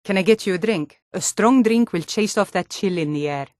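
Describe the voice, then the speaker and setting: A woman's voice: mid-range voice, normal speed, a little mellow, dry recording, studio quality, lightly acted. An actress playing a tough, blunt Nordic warrior woman in a fantasy video game, speaking firmly with a Nordic accent. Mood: friendly. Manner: firm, blunt.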